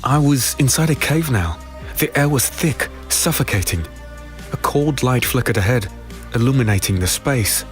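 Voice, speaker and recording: A man playing a weary, brooding fantasy hero who narrates his own dark tale in a deliberate, somber, dramatic way. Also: medium pitch, lively intonation, close to an everyday voice. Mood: ominous, tense. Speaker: narrator and lead character. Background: music.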